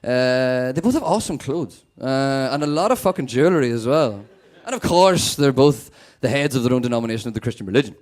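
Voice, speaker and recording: A young man from Northern Ireland with a Northern Irish accent, performing a stand-up set with a sweary, deadpan manner. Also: mid-range voice, brisk, few pauses. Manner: sweary, animated, deadpan asides. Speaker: stand-up comedian.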